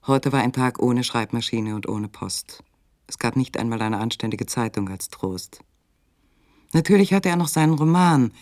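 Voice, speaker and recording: Actor as sober, close-miked narrator. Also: male voice, mid-range voice, a little mellow, dry recording, natural voice. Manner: measured, matter-of-fact. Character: sober, detached literary storyteller of a suspense tale. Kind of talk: scripted radio play narration.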